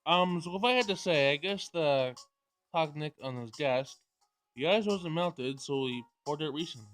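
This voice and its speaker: A man's voice: a little high, normal speed, a bit bright. A man doing a let's play of a visual novel, reading dialogue aloud with casual commentary and stumbling over words as he goes. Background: game music.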